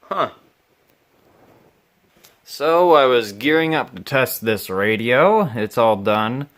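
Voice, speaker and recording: A man giving casual, unscripted commentary as he repairs a vintage radio, thinking aloud in a halting, musing way. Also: medium pitch, lively intonation, fairly bright tone.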